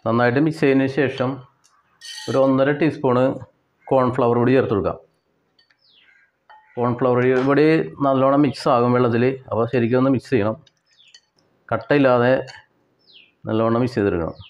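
A man's voice: mid-range voice, fairly even intonation, fairly quick, pauses often. Kerala man, dull lo-fi sound. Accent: Kerala Malayali. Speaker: home cook presenter. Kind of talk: step-by-step recipe narration.

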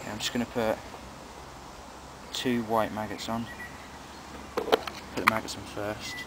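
A man's voice: on the low side, unhurried. A young man casually explaining his fishing setup as he goes, filmed outdoors by a pond on a handheld camera. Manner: relaxed, chatty, explains as he goes. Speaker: angler vlogger. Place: outdoors by a pond among trees. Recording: loud outdoor noise.

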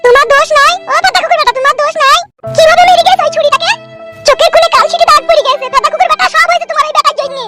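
A woman voicing a scolding, aggrieved village wife and mother in a comedy cartoon, full of shrill, nagging complaints. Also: racing. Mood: scolding, outraged. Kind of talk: amateur comedy cartoon dialogue. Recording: music bed behind dialogue.

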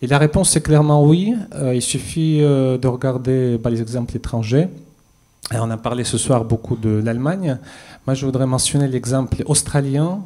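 A man's voice: medium pitch, fairly even intonation, mellow. A man in his thirties, a policy expert on a conference panel, giving a prepared statement with many fillers and restarts into a handheld mic. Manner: measured, hesitant with many fillers. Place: conference stage with audience.

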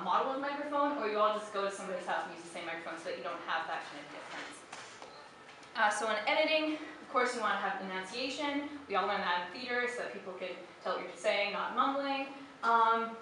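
A young woman presents a panel in a lecture hall, chatty and informal, leaning on "you know" and "like". Her voice is bright and forward. It rings through a very echoey room, caught from some distance away over a faint hum of ambience. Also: medium pitch. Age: young adult. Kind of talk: presenting a how-to panel talk. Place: lecture hall at a fan convention. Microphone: headset mic.

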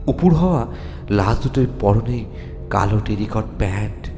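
Male horror narrator, lowish voice, theatrical and unhurried with dramatic pauses, heavy reverb. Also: expressive. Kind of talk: dramatic first-person ghost story narration in Bengali. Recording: long reverb with music underneath. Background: music.

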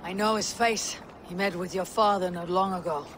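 A man's voice: high voice, fairly even intonation, normal speed, a bit bright, slight background noise. A man playing a stern, loyal Viking warrior in a video game, blunt and solemn. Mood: wary. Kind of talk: dramatic video game acting.

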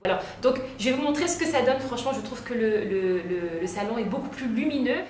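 A woman's voice: fairly high pitch, fairly quick, faint music behind. A woman in her thirties, a home decor vlogger chatting casually to camera, with many fillers and restarts. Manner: lively hand gestures, many fillers and restarts. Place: bright living room, indoors. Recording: roomy echo, faint background noise.

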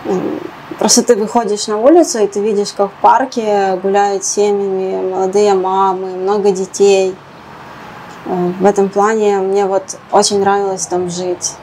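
A young woman from Ukraine, in her late twenties, talks in a soft, hesitant way, often pausing with small fillers. She sits in a cramped kitchen, recorded on a lapel mic, with a faint hiss of room noise behind her. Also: mid-range voice, little pitch movement, low-quality recording. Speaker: interviewee. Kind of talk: documentary interview.

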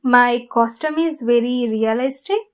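Woman acting a high-voiced, flustered demon girlfriend. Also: close to an everyday voice. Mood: evasive, nervous. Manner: halting, flustered, intimate delivery. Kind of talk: ASMR roleplay.

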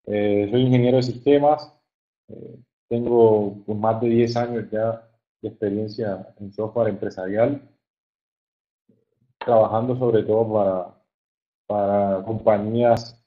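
A man with a fairly low voice and a coastal Colombian accent gives an informal online presentation. He speaks haltingly, often repeating words as he looks for the next phrase. The audio is muffled and narrow, like a phone call. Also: fairly even intonation. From Caribbean coast of Colombia. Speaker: webinar presenter, software engineer. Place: online webinar.

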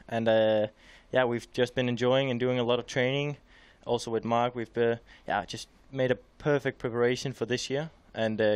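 A young man guesting on a studio show as a motocross rider, candid and halting, with many restarts, on a headset mic in a small TV studio. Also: mid-range voice, clean tone, no room sound. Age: young adult.

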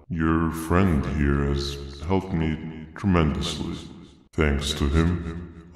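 A man voicing a sinister, smug male monster villain in a video game, speaking with slow, gloating menace. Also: a bass voice, darkish tone. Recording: echoey reverberant game audio.

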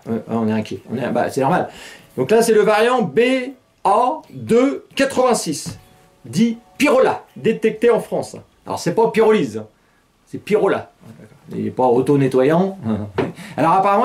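Middle-aged male satirical host, mocking, chuckling, animated and fairly high-pitched. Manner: sarcastic, laughs often, animated gestures. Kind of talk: mocking casual commentary. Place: small studio with green-screen news set.

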